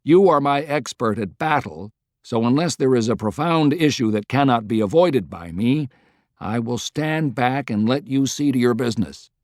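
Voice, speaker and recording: Weary, plain-spoken president for a war novel, read by a man, folksy and emphatic, mid-pitched, dry studio sound. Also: animated, moderate pace, dry recording, lightly acted. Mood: earnest, frank.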